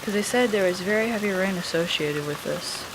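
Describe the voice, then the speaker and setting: A woman's voice: medium pitch, fairly quick. A woman chatting casually and muttering informal reactions while filming an amateur video. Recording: noisy home recording with rain-like hiss.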